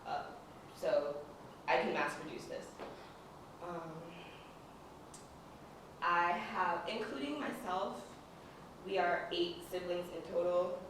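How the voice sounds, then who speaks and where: A young woman from the Dominican Republic sharing her story in a casual talk, relaxed and full of fillers. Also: on the low side, slow, pauses often, noisy background. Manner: relaxed, with many fillers and small laughs. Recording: echoey room, from far off.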